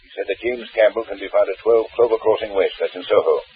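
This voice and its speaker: Deep-voiced police chief on telephone. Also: male voice, quick pace. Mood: commanding. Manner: curt, commanding. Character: brusque senior police officer giving orders by telephone. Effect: telephone filter. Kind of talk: 1940s radio crime acting. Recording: tinny, faintly noisy old radio recording.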